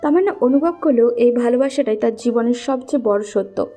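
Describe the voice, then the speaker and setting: Casting: female storyteller for romance audio, high but mellow voice, gentle music bed. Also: a little fast. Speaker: narrator. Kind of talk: scripted romantic story narration.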